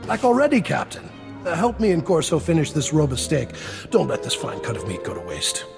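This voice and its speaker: Game crime boss: heavyset, gruff, gravelly, wry and worried, clear music underneath. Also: male voice, a little high, wildly swooping pitch, brisk, full character voice. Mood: uneasy, wry. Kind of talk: video game character dialogue.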